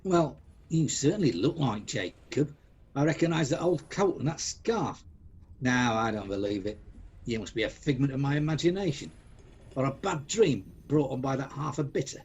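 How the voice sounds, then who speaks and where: A man's voice: mid-range voice, expressive, moderate pace, a little mellow, slightly rough. A man acting a grumpy, sceptical old miser in a radio play, with a blustering, indignant, exclamatory delivery. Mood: disbelieving. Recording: dull lo-fi sound, music behind.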